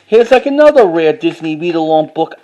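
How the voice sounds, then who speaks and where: A man with a distinctly high-pitched voice presents collected items in a casual vlog style. His delivery is informal and halting, and he often repeats phrases as he moves through his show-and-tell. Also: animated, mellow, poor audio. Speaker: collector showing items to camera.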